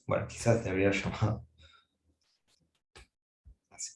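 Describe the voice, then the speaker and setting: Guy teaching math, lowish voice, lots of "eh"s, room echoes a lot. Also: level tone, moderate pace, vocal fry. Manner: thinking aloud, frequent "eh" fillers and restarts. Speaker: mathematics lecturer. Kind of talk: academic lecture at a whiteboard.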